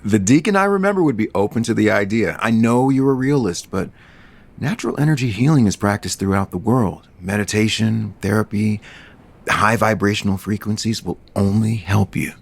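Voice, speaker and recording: Low-voiced tender, spiritual man. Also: animated, normal speed, gravelly. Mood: earnest, caring. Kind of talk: audio soap opera acting.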